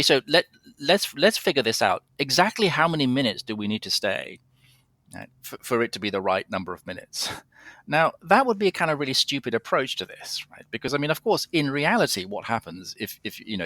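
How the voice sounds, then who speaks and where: A man's voice: mid-range voice, sharp tone, no room sound. A British man speaking as an interview guest in a conversational philosophical discussion, with hesitant, stammering restarts. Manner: hesitant, stammering restarts, explains through everyday examples.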